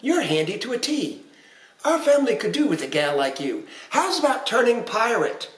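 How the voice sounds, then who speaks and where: A man voicing a gruff, scowling mama pirate woman in a storybook, howling, growling and muttering in pirate talk. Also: very high voice, sing-song, moderate pace, roomy sound, pitched above the performer's own voice. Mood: wheedling.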